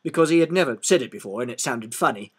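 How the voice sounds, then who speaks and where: A man reading a children's story aloud as an expressive narrator. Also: mid-range voice, quick pace, husky.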